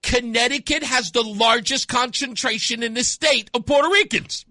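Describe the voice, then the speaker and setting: An American man hosting a call-in radio show, talking off the cuff in an animated, conversational way on a close studio mic. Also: extremely high pitch, normal speed, dry recording. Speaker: radio talk show host. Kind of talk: unscripted call-in talk radio chat. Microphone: close studio microphone.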